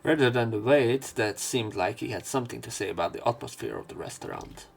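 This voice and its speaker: A man reads a visual novel aloud as a let's player, haltingly, slipping in his own casual commentary. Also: medium pitch, vocal fry, slightly rough. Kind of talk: narration and commentary over a game, reading aloud with asides. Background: visual novel game music.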